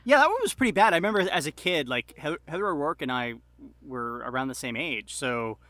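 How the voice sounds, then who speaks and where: A man speaking as a podcast guest in casual chat, excitable and rambling, often correcting himself. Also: on the high side, fast talker, no echo. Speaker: podcast guest, audio drama creator.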